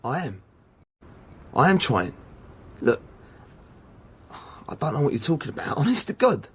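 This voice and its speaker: A man's voice: on the high side, very animated, measured pace, breathy edge, exaggerated voice. An actor playing a cocky, defensive male footballer under questioning in a radio drama, with a London accent and slangy, blustering speech. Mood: defensive, rattled. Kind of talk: naturalistic radio drama acting.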